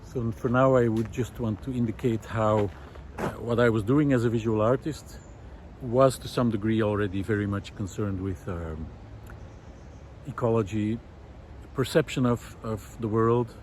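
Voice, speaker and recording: A middle-aged man musing aloud in a reflective, hesitant monologue with frequent pauses, recorded while walking outdoors. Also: lowish voice, fairly even intonation, a little mellow. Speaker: visual artist and vlog host. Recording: outdoor ambient noise.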